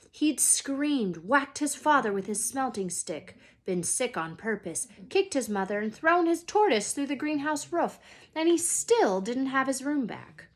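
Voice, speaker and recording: A woman reading a children's book aloud as a storyteller, dramatic and expressive, sometimes hushed for suspense. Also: a little high. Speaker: read-aloud storyteller, teacher.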